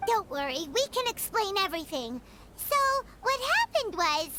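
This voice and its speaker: A woman voicing a small, childlike fairy companion in a game, excitable and blunt, with music behind. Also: very high voice, moderate pace, very noisy. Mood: reassuring.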